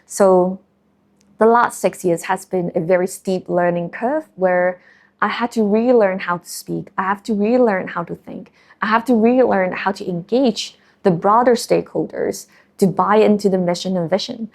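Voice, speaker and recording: Hong Kong-accented woman scientist, measured speech. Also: mid-range voice, gravelly. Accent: Hong Kong English. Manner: measured, thoughtful, with frequent um and uh. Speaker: marine scientist and company founder. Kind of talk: documentary interview.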